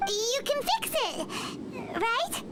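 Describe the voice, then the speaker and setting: Squeaky, very high childlike fairy companion. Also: female voice, sing-song, drawn-out words, very noisy, full character voice. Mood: curious, excited. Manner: perky, speaks of self in third person. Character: tiny excitable female fairy companion with a childlike voice. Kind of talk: video game voice acting.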